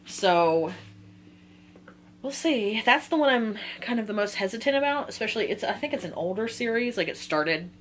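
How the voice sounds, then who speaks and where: A young woman in her twenties with a mid-pitched voice, recorded with a faint noise and hum behind her. She talks like a book vlogger, informal and chatty. Her delivery is giggly and she often breaks off to rephrase. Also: lively intonation, moderate pace, fairly bright tone. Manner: giggly, informal, self-interrupting. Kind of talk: casual chatty book list talk to viewers.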